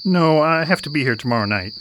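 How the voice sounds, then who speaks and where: A man playing an earnest, formally polite Victorian gentleman in a radio drama. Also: on the high side, animated, fast talker, natural voice. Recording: noisy night ambience with crickets.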